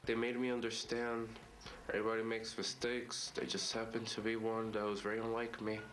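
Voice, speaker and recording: Subdued teen guy, kinda flat voice, bit of noise behind him. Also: on the low side, brisk, rough recording. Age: teens. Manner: subdued, regretful. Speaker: high school student. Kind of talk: reflective interview confession. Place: school room with whiteboard.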